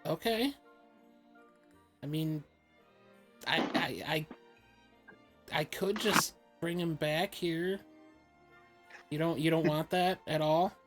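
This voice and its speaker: A woman voicing an awkward, puzzled young adventurer in an improvised comedic fan dub of a fantasy game, speaking casually and haltingly. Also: deep voice. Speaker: amateur live fan-dub voice actor. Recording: background music under the voice.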